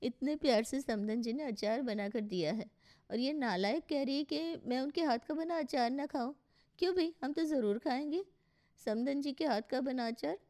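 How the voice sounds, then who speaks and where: A woman's voice: sits high, normal speed, mellow, pitched above the performer's own voice. A woman voicing a stubborn middle-aged mother in a cartoon story, querulous and dramatic. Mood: stubborn, puzzled.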